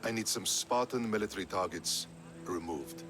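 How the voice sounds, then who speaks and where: An actor playing a proud, curt, ruthlessly pragmatic Athenian general in a video game cutscene. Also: male voice, deep voice, normal speed, noisy background, natural voice. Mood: haughty, determined. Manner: curt, haughty.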